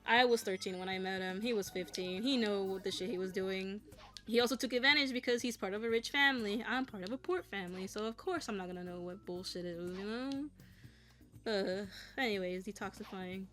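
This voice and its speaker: A woman's voice: mid-range voice, fairly quick, fairly bright tone, soft background music. A woman in her late twenties talking casually and frankly while she plays a game. She vents with a lot of swearing and nervous laughter. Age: 20s. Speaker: content creator. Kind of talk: casual candid talk while gaming.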